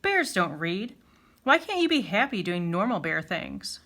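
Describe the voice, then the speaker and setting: A woman's voice: on the low side, sing-song, a little slow, sharp tone, exaggerated voice. A woman voicing a sensible, dismissive sister bear in a children's story, scolding in a matter-of-fact way.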